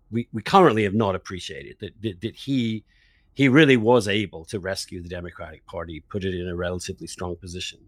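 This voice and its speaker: A man's voice: on the low side, no room sound. A man hosting an opinionated political conversation as an interviewer, speaking candidly and emphatically, repeating words as he makes his points. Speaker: podcast host interviewing an author.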